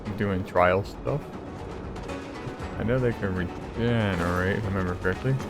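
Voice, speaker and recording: A man's voice: low voice, normal speed, music in the background. A man giving casual, playful gameplay commentary, muttering reactions as he plays. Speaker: gameplay streamer.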